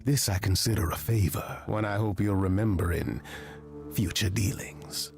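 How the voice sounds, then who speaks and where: An actor voicing a sly, charming trickster god in a musical video game, with a suave, flowery, teasing delivery. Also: male voice, fairly low pitch, normal speed, vocal fry, music in the background. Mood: sly, insinuating. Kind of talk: musical video game acting, spoken and sung.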